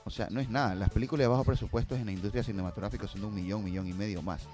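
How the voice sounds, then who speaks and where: Deep-voiced Ecuadorian man over prominent music. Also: steady tone, very fast. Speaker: guest filmmaker and producer. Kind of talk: conversational interview answers.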